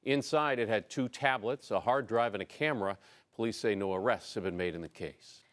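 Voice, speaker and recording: A man's voice: on the low side, a little fast, fairly bright tone. An American man reading scripted local television news as an anchor.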